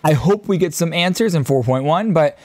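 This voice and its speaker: A man's voice: a little high, lively intonation, a little fast, darkish tone, no echo. A man in his thirties giving enthusiastic, casual reaction commentary as a video host, close on a desk microphone. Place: small indoor streaming room. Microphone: close desk microphone on boom arm.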